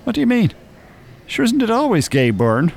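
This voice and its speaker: Droll old Irishman, expressive. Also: high voice, normal speed, gravelly, slightly rough, full character voice. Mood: droll, mock-solemn. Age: older. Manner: droll, wordy, teasing. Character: dry, droll, mock-pious old married man. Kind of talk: naturalistic radio drama acting.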